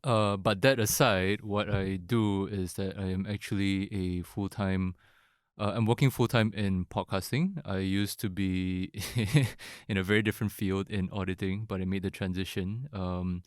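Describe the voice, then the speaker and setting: A man's voice: low voice, fairly even intonation, unhurried, clear, resonant tone, no room sound, studio quality. A man from Singapore, a guest on a podcast, talking chattily with easy laughs and many likes and restarts. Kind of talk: casual podcast conversation.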